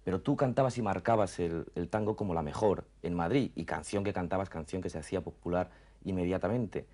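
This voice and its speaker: A man's voice: low-pitched, brisk, a little mellow. A man, asking questions as a television interviewer. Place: dim furnished lounge, indoors. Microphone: table microphone on a stand.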